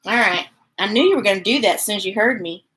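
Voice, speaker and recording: A woman hosting a children's story time, reading picture books aloud expressively and chatting playfully with viewers in a gushing, animated way. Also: mid-range voice, fast talker.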